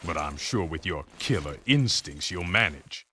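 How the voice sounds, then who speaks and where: An actor playing a gruff, sardonic commander handing out missions in a game cutscene, dry and authoritative. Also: male voice, sits low, animated, measured pace, sharp tone, slight background noise, exaggerated voice. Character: gruff, sardonic military-style mission boss. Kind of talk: video game cutscene acting.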